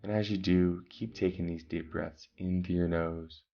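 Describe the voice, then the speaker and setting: A very low, gravelly male voice leads a guided meditation, speaking calmly and slowly. He gives breathing instructions with long pauses between them. The recording sounds slightly dull and lo-fi.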